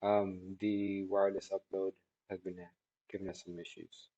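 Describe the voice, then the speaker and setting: A man in his thirties giving a spoken product testimonial in a halting, measured way, with drawn-out words. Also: sits low, flat delivery, dark tone, lo-fi sound. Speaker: technology product reviewer. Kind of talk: spoken testimonial answering questions. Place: small indoor office room by a large window.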